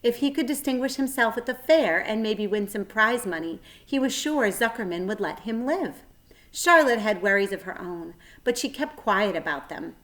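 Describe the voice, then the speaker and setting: A woman reading a children's story aloud like a teacher, with a careful, expressive delivery. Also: medium pitch, normal speed.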